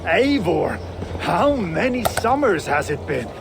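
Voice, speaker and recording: Hearty older danish warlord gone pious, game cutscene, slightly high slow voice, outdoor noise behind. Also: male voice, very animated, vocal fry, full character voice. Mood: genial. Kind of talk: dramatic video game acting.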